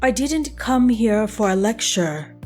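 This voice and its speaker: A woman's voice: fairly high pitch, expressive, takes it slowly, a little mellow, soft background music. A woman playing a determined, guarded necromancer posing as a professor, direct and insistent, in an audio story. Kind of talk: scripted audio story acting.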